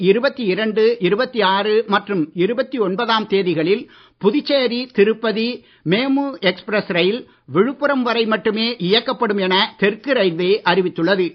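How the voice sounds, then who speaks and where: A man reading the evening radio news in a formal, measured bulletin style. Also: high-pitched. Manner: steady, measured bulletin delivery. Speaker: news reader. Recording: muffled radio broadcast audio.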